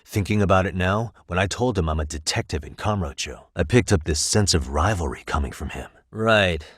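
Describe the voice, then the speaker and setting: A calm private detective in a video game, with a low voice and a close, dry sound. He speaks in a measured, methodical way, as if reasoning through each point aloud. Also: no echo. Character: calm, analytical male private detective, former lawyer. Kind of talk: English video game voice acting, conversational dialogue.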